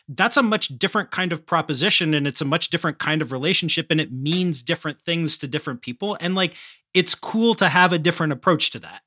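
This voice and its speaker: A man's voice: a little high, pushed and bright, no echo. A man hosting an interview podcast, talking conversationally in an emphatic, articulate, explanatory manner. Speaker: podcast host.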